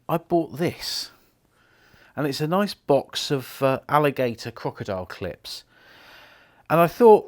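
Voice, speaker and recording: A middle-aged British man giving an informal, unhurried hands-on commentary, explaining things step by step as he works. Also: medium pitch. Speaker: presenter of a repair video, former radio engineer. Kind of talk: casual hands-on demonstration commentary.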